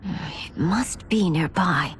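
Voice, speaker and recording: A woman's voice: lowish voice, slow, slight background noise. A woman playing a grave, determined ally in a video game war council, serious and earnest. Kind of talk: video game cutscene acting.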